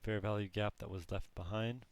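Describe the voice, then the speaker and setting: A man who is losing his voice, teaching a trading lesson in a slow, halting way with frequent restarts. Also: on the low side, fairly even intonation. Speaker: trading course instructor. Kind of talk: screen-recorded trading lesson.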